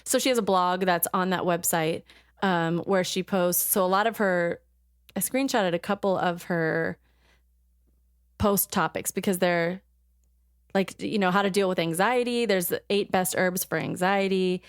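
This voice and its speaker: Chatty woman podcast host, low voice. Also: clean tone, dry recording, studio quality. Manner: chatty, halting, many ums while searching notes. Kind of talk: casual chat.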